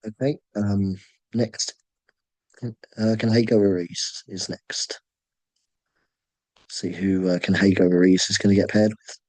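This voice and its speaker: A man whose voice is worn down by a sore throat hosts a casual online draw from his computer. He speaks low and haltingly, stopping often for ums and uhs. The sound is close and dry, with no room echo. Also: flat delivery, a little mellow. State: sore throat, voice nearly gone. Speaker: host running a fantasy draw. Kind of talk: casual screen-share commentary.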